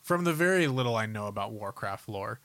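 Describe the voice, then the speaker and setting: A man's voice: lowish voice, lively intonation, fairly quick, fairly bright tone, no room sound. A man co-hosting a podcast, chatting informally and giving opinionated, lengthy explanations about films and games. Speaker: podcast host.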